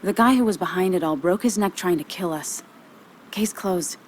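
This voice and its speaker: A woman's voice: lowish voice, normal speed, a little mellow, a little hiss or hum, natural voice. An actress playing a wry, teasing woman in a video game, with playful, dry banter. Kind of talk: naturalistic video game acting.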